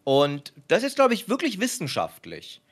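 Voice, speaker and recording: A man's voice: sits high, wildly swooping pitch, normal speed. A man chatting jokingly as he plays a game, wry and playfully grandiose. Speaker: gaming streamer, co-player. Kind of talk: casual joking gameplay chat. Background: game music.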